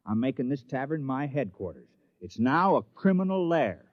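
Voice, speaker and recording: A man's voice: mid-range voice, sing-song, normal speed, no echo, close to an everyday voice. An actor playing a reluctant, simple small-town man in a vintage radio drama, plain-spoken, doubtful and folksy. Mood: earnest, bemused. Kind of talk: 1950s radio drama acting. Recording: dull, dry vintage radio recording.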